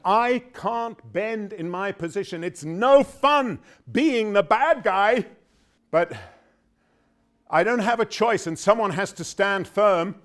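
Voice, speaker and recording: An actor playing a troubled, dutiful middle-aged public prosecutor and father, in an intense, deliberate theatrical monologue. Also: high voice, fairly bright tone. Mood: resolute, burdened. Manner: intense, deliberate, with dramatic swells and drops.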